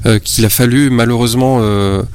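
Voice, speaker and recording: Man, medium pitch, interviewer, polite, formal questions. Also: fairly even intonation, moderate pace, darkish tone, a little hiss or hum. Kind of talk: radio-style interview questions.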